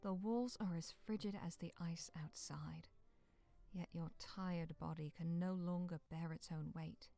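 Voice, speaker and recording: A woman's voice: on the low side, fairly even intonation, a little slow, gravelly, warm tone, soft background music. A woman narrating a fantasy visual novel game in a solemn, literary manner as its storyteller.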